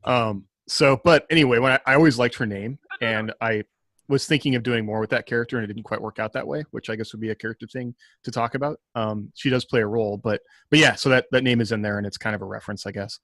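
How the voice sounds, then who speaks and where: Guy on a writers podcast, halting and self-deprecating, dry close sound. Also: medium pitch, fairly even intonation, fairly bright tone, dry recording. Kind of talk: casual podcast chat.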